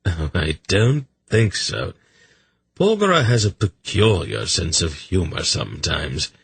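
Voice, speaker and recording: Man as a wry, husky sly rogue. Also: low voice, big pitch swings, a little slow, fairly bright tone, dry recording, heavily acted. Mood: wry, amused. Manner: casual, drily teasing. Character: sly, wry, weasel-faced man, amused.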